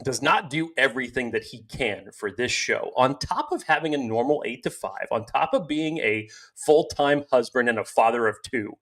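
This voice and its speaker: A man's voice: medium pitch, expressive, normal speed, few pauses, fairly bright tone. A man co-hosting a casual sports podcast, talking with hype-style energy and doing ad reads over a remote call. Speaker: podcast co-host.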